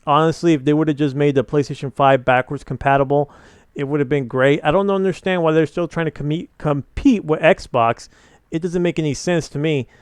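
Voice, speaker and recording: A man's voice: fairly high pitch, smooth, no room sound, studio quality. A young man giving casual, opinionated commentary on gaming news, rambling with many fillers and restarts. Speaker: gaming channel host.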